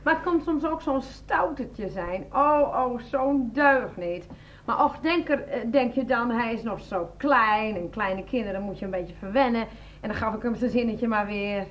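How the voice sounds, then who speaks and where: Radio play: woman plays elderly indulgent aunt, fairly high voice, fussy, repetitive, slightly muffled recording. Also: normal speed, lightly acted. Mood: fond, indulgent. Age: older. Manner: clucking, repetitive, fondly scolding. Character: doting, fussy old aunt, indulgent and talkative. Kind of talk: 1990s radio comedy drama acting.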